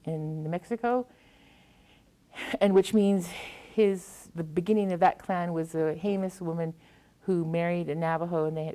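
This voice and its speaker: A woman's voice: fairly low pitch, warm tone. An American woman, a poet, speaking reflectively as she tells stories and reads her work to an audience. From US Pacific Northwest. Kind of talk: anecdotal talk and poetry reading to an audience.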